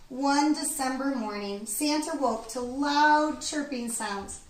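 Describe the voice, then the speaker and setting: High-voiced woman reading a children's story. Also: slow. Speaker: read-aloud storyteller. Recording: echoey room, distant mic, background noise.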